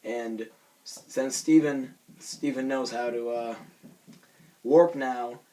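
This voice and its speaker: A hoarse young man with a raspy voice, rambling casually through a self-conscious vlog with many fillers and restarts. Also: medium pitch, slow pace, warm tone, poor audio. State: hoarse from screaming. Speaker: vlogger reviewing a cartoon episode.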